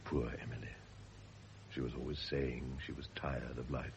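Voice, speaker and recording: An actor playing a polite, subdued married gentleman in a classic radio drama, reflective and restrained. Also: rumbling low, flat delivery, normal speed, creaky. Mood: rueful, pensive. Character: suave, subdued married gentleman under suspicion, remorseful. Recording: noisy old radio recording.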